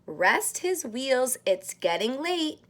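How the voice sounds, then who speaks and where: A woman reading a picture book aloud to young children like a daycare teacher, in an expressive, sing-song way with drawn-out words. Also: high voice. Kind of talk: animated read-aloud of a children's storybook.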